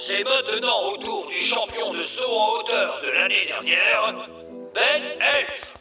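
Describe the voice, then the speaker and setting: A man playing a formal elf sports announcer in a cartoon, calling out ceremoniously from a distance. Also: high voice. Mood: official. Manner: loud, measured, ceremonious announcing. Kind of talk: animated cartoon dub. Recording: echoey, distant announcer with music.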